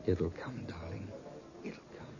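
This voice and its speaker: A man's voice: sits low, measured pace, warm tone, husky, heavily acted. An actor playing a glib, scheming ladies' man in a 1950s radio drama, with a suave, coaxing manner. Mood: soothing. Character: smooth-talking two-timing male lover, glib and scheming. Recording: dull 1950s radio recording.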